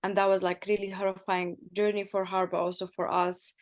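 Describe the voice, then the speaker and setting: A woman from Somalia speaking on a panel. She talks softly and uses many fillers. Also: mid-range voice, little pitch movement, fairly quick.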